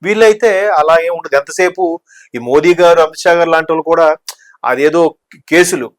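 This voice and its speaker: A man's voice: fairly high pitch, fairly bright tone. A man giving conversational political commentary, talkative and explanatory, mixing in English words. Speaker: news discussion commentator.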